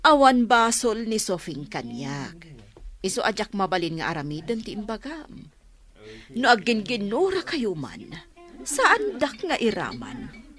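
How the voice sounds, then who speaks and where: A woman with an Ilocano accent playing a peaceable, hospitable village woman with an expressive, pleading way of talking in a radio comedy drama. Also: mid-range voice, a little slow. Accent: Ilocano, northern Philippines.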